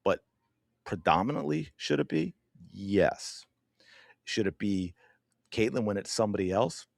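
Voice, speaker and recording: A man hosting a sports podcast who gives opinionated commentary in an emphatic, animated way while addressing his viewers directly. His voice is going hoarse. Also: fairly low pitch, a little slow, dry recording, studio quality. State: losing his voice.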